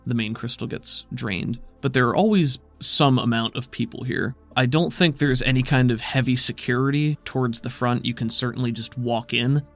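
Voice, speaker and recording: A man with a gravelly, creaky voice at a middle pitch, running a tabletop game as game master. He sets scenes in a measured, descriptive way. Faint music plays under him. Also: a bit bright.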